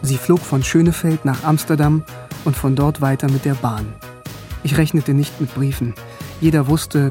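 An actor as a young male student telling his own story in a German radio play. The voice is plain and mid-pitched, in a storytelling manner, with music clearly behind it. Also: dark tone, natural voice. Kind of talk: first-person radio drama narration and dialogue.

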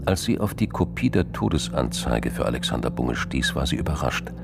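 A man with a gravelly voice narrating a scripted crime drama. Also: extremely low pitch, expressive, a little fast, background noise.

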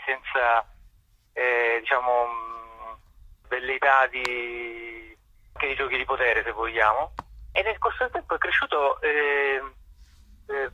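Very sharp, bright man, halting. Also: medium pitch, low-quality recording. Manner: hesitant, halting, searching for words. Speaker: theatre festival director, interview guest. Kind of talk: unscripted interview answers. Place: radio interview.

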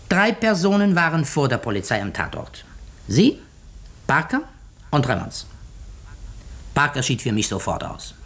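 A methodical detective in a 1950s radio drama. His husky voice moves in wide, animated swoops as he sets out his reasoning. He is deliberate and theatrical, leaving pointed pauses. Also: medium pitch. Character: shrewd, methodical male detective unmasking a murderer. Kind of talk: 1950s German radio play acting.

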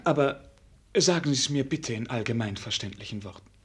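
A naive royal prince in a radio drama, with a rough-edged, husky voice of medium pitch. He speaks with courtly politeness, halting and easily dismayed. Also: expressive, a bit bright. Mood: bewildered, indignant. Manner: halting, polite, aristocratic speech. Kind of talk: German radio play acting.

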